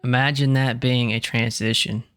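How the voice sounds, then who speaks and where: A man's voice: medium pitch, flat delivery, normal speed, fairly bright tone, clear, resonant tone, soft background music. A man giving casual, joking gameplay commentary in informal, bro-ish slang. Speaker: game streamer.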